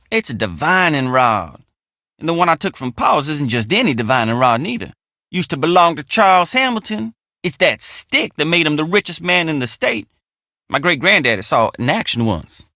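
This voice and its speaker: An excitable young country handyman for a video game, with a Southern American drawl. His pitch sits high and swings widely, with a creaky edge. He talks in earnest, folksy, homespun phrases. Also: no room sound, clean studio sound, heavily acted. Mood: earnest, confiding. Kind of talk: video game character dialogue.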